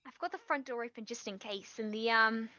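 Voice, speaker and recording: An actress playing an earnest, tender younger woman in a stage play, speaking haltingly and trailing off. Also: on the high side, sharp tone, dry recording, lo-fi sound. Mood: wistful, gentle. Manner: halting, trailing off mid-sentence. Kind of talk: stage play acting, quiet two-hander.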